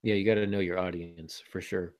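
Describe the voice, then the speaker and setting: A man's voice: low-pitched, fairly even intonation, fairly quick, darkish tone, dry recording. A man hosting a casual panel discussion, explaining his points with examples and a dry, teasing humor. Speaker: podcast host and fiction writing teacher.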